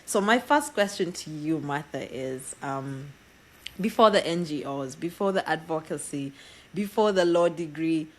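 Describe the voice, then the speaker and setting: A woman's voice: low voice, a little slow, poor audio. Chatty woman hosting a podcast. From East Africa. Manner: chatty, laughs often, lively storytelling. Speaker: podcast host. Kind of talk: casual interview chat.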